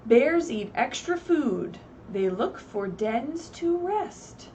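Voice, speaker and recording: A woman reading aloud expressively at a children's story time, pausing to put simple questions to her young listeners. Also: sits high, big pitch swings, mellow. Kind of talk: animated read-aloud with asides to young children. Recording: distant mic, faint background noise, livestream.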